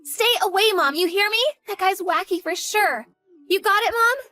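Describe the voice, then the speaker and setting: A woman's voice: very high voice, moderate pace, sharp tone, faint music behind. A woman voicing a devoted college-age daughter who talks earnestly and grows panicked in a dramatized story. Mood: urgent.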